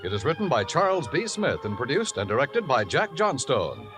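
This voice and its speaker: A man's voice: mid-range voice, wildly swooping pitch, moderate pace, music in the background. A man reading scripted announcements and credits as a 1950s radio announcer.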